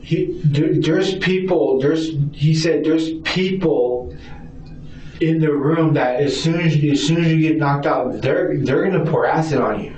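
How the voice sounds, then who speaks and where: A man's voice: on the high side, measured pace, darkish tone. A man answering questions in a police interrogation as a suspect, rambling and repeating himself with stammered restarts, agitated and defensive. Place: indoor police interview room. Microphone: distant room recording microphone. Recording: distant, echoey, muffled noisy interrogation recording.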